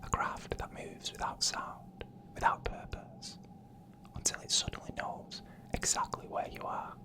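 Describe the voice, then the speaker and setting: An ASMR narrator telling scary stories in a breathy, unvoiced whisper, with a hushed and suspenseful delivery. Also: unhurried. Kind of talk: whispered ASMR horror storytelling, scripted narration.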